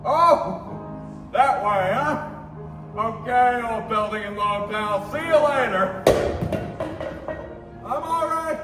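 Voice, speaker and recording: A man's voice: very high voice, lively intonation, takes it slowly, long pauses, distant mic, exaggerated voice. A teenage male student actor playing a jolly, muddled older uncle in a radio play, exclaiming and repeating himself. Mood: tipsy, merry. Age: teens. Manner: exclaiming, wandering, repetitive. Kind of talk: old-time radio play acting on stage. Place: high school theater stage. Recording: echoey hall from afar, music behind.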